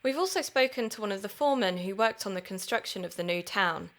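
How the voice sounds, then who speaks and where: Casting: curious, earnest young female podcast investigator, mid-pitched, conversational audio drama delivery. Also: expressive, fast, a bit bright. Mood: keen, informative. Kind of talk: naturalistic audio drama acting, narrating into recorder.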